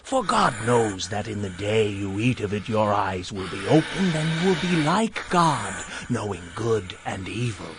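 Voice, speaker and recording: A cunning serpent speaks slowly and insinuatingly in a scripture drama. The pitch slides widely as it tempts and persuades, over clear background noise. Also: male voice, sing-song. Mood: sly, tempting. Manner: slow, drawn-out, persuasive.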